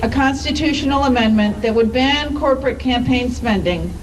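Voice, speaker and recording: A woman with a somewhat high-pitched voice reads formal letters out loud in public. Her phrasing is measured and deliberate, with clear emphasis. The microphone sits away from her, and noticeable ambient noise runs behind the voice. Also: far from the mic. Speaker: activist reading statements aloud at a public event.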